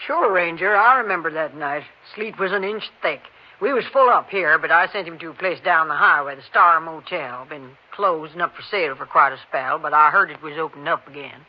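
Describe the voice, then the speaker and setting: An actor playing a helpful, chatty rural motel keeper with folksy phrasing, in 1950s radio drama style. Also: male voice, fairly high pitch, brisk, natural voice. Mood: helpful, recollecting. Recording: muffled old 1950s radio recording.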